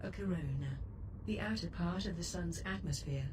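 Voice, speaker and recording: A woman playing a composed, obliging ship computer in a space game, speaking in a measured, formal way. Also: on the low side, noisy background, natural voice. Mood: composed, helpful. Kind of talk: scripted game voice assistant.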